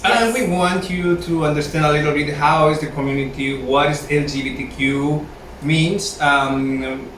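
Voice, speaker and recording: A man's voice: fairly high pitch, moderate pace, a bit bright, slight background noise. A man co-hosting a casual interview, introducing the guest in an upbeat way with simple phrasing. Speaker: co-host. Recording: echoey room, voice from far off.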